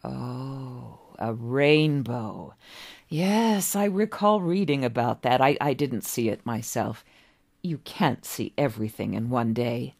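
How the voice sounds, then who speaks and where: A woman voicing a gentle, wistful, very old man in a story, musing kindly with little rhetorical asides. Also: very deep voice, takes it slowly, creaky, exaggerated voice.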